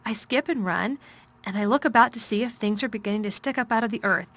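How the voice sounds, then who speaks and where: A woman's voice: fairly high pitch, quick pace, a bit bright. A woman voicing a timid, stiff little orphan girl in a storybook reading; she speaks hesitantly and stammers.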